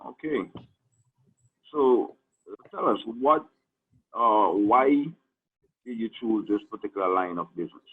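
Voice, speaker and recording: A man hosting a radio interview show, asking questions in a relaxed, chatty way. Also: on the low side, takes it slowly, long pauses, husky, narrow, tinny audio.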